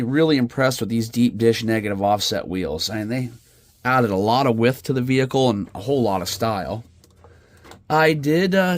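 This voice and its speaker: A man's voice: medium pitch, dry recording. Nasal man with a head cold. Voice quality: slightly nasal. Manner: relaxed, chatty, with fillers and restarts. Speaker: RC hobby channel host. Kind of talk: casual how-to build commentary. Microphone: phone camera.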